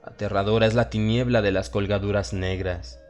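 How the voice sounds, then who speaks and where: Deep-voiced man narrating horror over music. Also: steady tone, darkish tone. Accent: Latin American Spanish. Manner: solemn, theatrical, with dramatic pauses. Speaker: audiobook narrator. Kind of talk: dramatized horror story narration. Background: music and sound effects.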